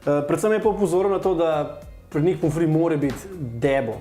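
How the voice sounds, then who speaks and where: A male cooking show host with a slightly high voice chats and asks his guest questions, a bit halting in English. The studio kitchen is echoey and light music plays behind him. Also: mellow. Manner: chatty and interviewing, halting in English.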